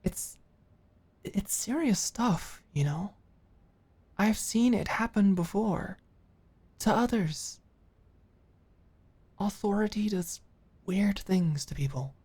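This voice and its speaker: A woman playing an earnest, caring office manager in an intimate ASMR roleplay, speaking haltingly and with open emotion. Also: mid-range voice, slightly rough. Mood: serious, caring. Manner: halting, sighing, emotionally expressive.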